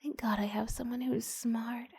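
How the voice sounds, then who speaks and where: Woman playing a shy, breathy, flustered schoolgirl. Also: on the high side, level tone, normal speed, darkish tone, pitched above the performer's own voice, full character voice. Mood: amazed, admiring. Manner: hesitant, gushing, breathy half-whispers. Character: shy, flustered, adoring female student seeking homework help. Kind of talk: ASMR roleplay acting.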